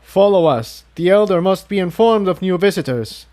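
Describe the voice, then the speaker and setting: A man's voice: sits high, animated, moderate pace, darkish tone, voice pushed up. A man voicing a nervous male pony guard in a storybook, with a stilted, stumbling, formal delivery as if reciting. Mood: nervous, insistent.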